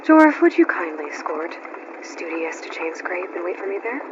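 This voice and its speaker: An actress playing a determined young huntress in a video game, talking in a direct, dry, impatient way. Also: female voice, lowish voice, sing-song, normal speed, background noise. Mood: resolute. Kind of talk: video game cutscene acting. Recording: muffled game capture with music.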